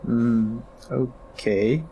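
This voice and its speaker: A man's voice: mid-range voice, fairly even intonation, extremely slow, mellow, slight background noise, poor audio. A man playing an anxious heart patient who is desperate to live, in an amateur audio drama. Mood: curious, hopeful.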